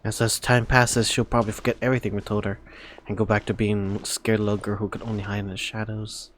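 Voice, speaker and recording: A woman giving casual, exclamatory reactions to a game as a streamer, expressive and prone to swearing. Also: rumbling low, fast, a little hiss or hum. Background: game music.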